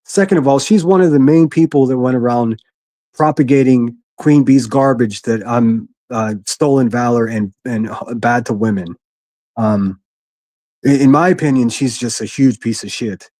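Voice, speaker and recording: A man with a gruff voice hosting a solo livestream from his quiet living room, reading chat and speaking bluntly with frequent restarts. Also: mid-range voice, moderate pace, no room sound. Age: adult, a military veteran. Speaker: livestream host.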